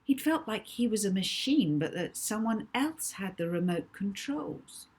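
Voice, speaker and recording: A woman author reading her children's novel aloud with dramatic, deliberate emphasis. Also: medium pitch, animated, a little mellow, husky. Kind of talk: expressive children's audiobook narration.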